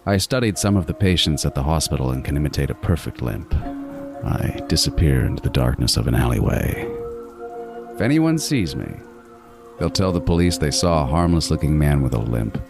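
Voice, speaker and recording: Man acting a very deep-voiced, brooding serial killer. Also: very animated, vocal fry, over music, exaggerated voice. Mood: cold, calculating. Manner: brooding, deliberate, menacing. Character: meticulous serial killer narrating his hunt, obsessive, edgy man. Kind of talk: first-person horror audio drama narration.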